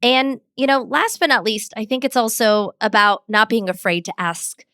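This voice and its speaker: Smooth-voiced woman explaining with confidence. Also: fairly high pitch, normal speed, bright, forceful tone, dry recording, studio quality. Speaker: communications expert guest. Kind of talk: interview answers, advice with examples.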